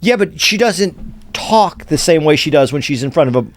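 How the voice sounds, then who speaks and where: A man's voice: fairly high pitch, animated, normal speed. A man co-hosting a casual podcast, arguing and interjecting. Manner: argumentative, interjecting. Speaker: podcast co-host. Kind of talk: casual comedic chat.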